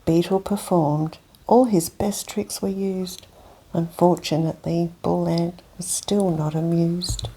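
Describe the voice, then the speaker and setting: A woman whispering softly as she reads a children's picture book aloud for an ASMR session. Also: fairly low pitch, flat delivery, slow pace, a little hiss or hum, low-quality recording. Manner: soft gentle whisper. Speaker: host. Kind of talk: ASMR whispered storybook reading.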